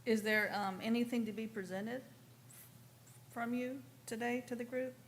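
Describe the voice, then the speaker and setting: A woman's voice: fairly high pitch, steady tone, moderate pace. A woman chairing a public commission meeting, switching between formal procedure and plain conversational speech. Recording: clear background noise, room ambience.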